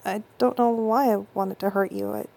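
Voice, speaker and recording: A young woman playing an affectionate, intense girlfriend in an intimate audio roleplay, speaking close to the mic in soft whispers that break into stammers. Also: medium pitch, fairly even intonation, moderate pace, creaky. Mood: tender, conflicted.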